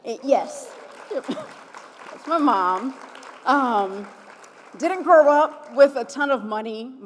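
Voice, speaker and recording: Upbeat woman emceeing in a reverberant hall. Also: on the high side. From Chicago, USA. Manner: upbeat, rallies the audience with call-and-response. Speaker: event host, TV reporter. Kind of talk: gala emcee speech. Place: large event hall. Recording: long hall reverb from event PA.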